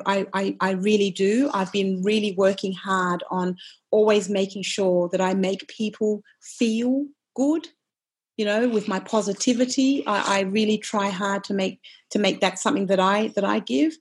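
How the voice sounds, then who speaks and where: A middle-aged woman, a wellness coach, talking in a casual interview, forceful and passionate, with many quick restarts. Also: mid-range voice, level tone, breathy edge. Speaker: nutritionist and wellness coach, guest.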